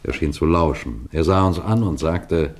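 Deep-voiced, authoritative police detective. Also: male voice, a little hiss or hum. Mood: tense narration. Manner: measured, deliberate, emphatic storytelling. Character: authoritative male police detective recounting his case. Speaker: narrator and lead actor. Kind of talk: vintage German radio crime drama narration.

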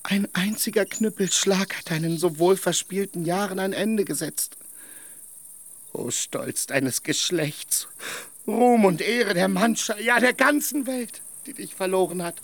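A man's voice: high voice, measured pace, fairly bright tone, dry recording, full character voice. An actor playing a loyal, simple squire in a radio play, anxious and effusive in his pleading. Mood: grieving.

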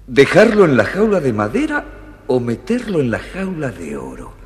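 A man's voice: mid-range voice, huge pitch swings, normal speed, in a large hall, background noise. A man voicing a young, absent-minded, hapless prince who exclaims naively and muddles things, in a children's story. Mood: puzzled. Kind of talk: recorded children's storybook dramatization.

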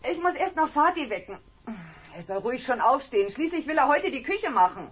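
Sleepy mother, high and very animated, for children's audio drama. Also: brisk. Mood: sleepy surprise. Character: sleepy mother, surprised and pleased.

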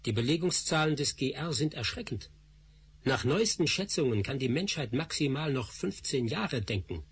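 An actor playing a measured, technical male scientist who turns gentle and tender with his family in a radio play. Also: mid-range voice, expressive, husky. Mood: determined. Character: male scientist Doktor Spassky, calm and determined.

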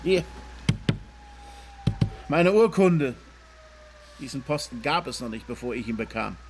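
A pushy smug police boss, condescending, radio play, bit of street noise behind. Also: male voice, medium pitch, expressive, unhurried. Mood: boastful, brusque. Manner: condescending, brusque, blunt. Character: bullying, ambitious male police inspector, smugly menacing. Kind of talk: radio drama acting.

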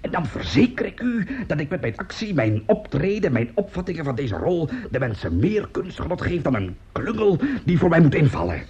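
A hoarse, swooping, vain opera singer. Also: male voice, a little high, big pitch swings, fairly quick, a little mellow, highs cut off. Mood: pleading, proud. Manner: haughty, theatrical. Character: temperamental, vain male opera singer, indignant and pleading. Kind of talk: radio play acting.

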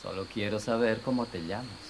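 Mysterious stranger in the night, played by a man: hushed, taunting, slight background noise, radio play. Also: on the low side, fast talker, natural voice. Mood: quietly teasing. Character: mysterious, teasing Spanish-speaking night intruder, male lover. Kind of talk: radio drama dialogue.

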